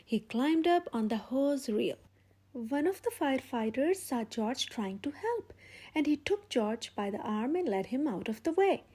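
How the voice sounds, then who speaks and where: A woman storyteller reading a children's picture book aloud in an expressive, emphatic way for young listeners. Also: high voice, measured pace, breathy edge. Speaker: storyteller, channel host.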